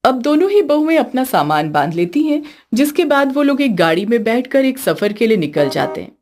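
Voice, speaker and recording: A woman's voice: on the high side, sing-song, normal speed, darkish tone. A woman narrating a scripted story as the storyteller.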